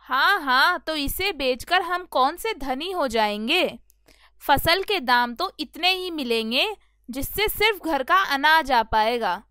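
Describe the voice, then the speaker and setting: A woman's voice: sits high, lively intonation, slow, dry recording, clean studio sound, full character voice. A woman voicing a greedy, impatient, nagging farmer's wife in a cartoon fable, with a complaining, pushy manner. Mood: scornful, complaining. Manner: complaining, pushy, sharp-tongued.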